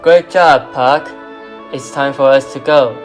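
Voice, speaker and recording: Mid-pitched fairy king over music, a man acting. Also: fairly even intonation, slow, lightly acted. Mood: commanding. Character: commanding, contrite fairy king of the forest. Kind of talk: amateur radio drama acting.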